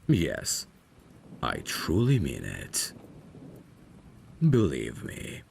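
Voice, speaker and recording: A man's voice: slight background noise, exaggerated voice. A man voicing a courteous, devoted, centuries-old vampire butler in an ASMR roleplay, speaking formally and deferentially. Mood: earnest, tender. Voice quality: very deep, creaky. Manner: formal, deferential, measured servant's phrasing. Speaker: ASMR voice actor. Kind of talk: ASMR roleplay, dramatic scripted acting.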